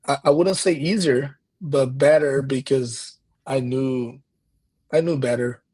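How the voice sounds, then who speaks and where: Brazilian pastor guest, 30s, husky voice, mid pitch, earnest and reflective. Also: male voice, fairly even intonation, takes it slowly, darkish tone. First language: Portuguese. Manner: earnest, reflective, many restarts. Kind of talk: conversational interview.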